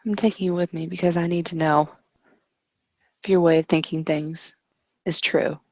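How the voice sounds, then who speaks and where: A woman playing an intense, stubborn fae woman in a tabletop roleplay, speaking deliberately and earnestly. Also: deep voice, fairly even intonation, darkish tone, no echo. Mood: wounded, intense. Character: intense fiery fae woman, formerly human, brooding and stubborn.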